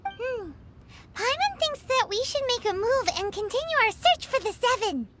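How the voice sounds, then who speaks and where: A woman voicing a tiny, cheeky, childlike fairy companion in a game, squeaky and chirpy with a blunt way of talking. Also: big pitch swings, normal speed, very noisy. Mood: curious. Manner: chirpy, blunt, speaks of herself in third person. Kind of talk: scripted game cutscene voice acting. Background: prominent game music.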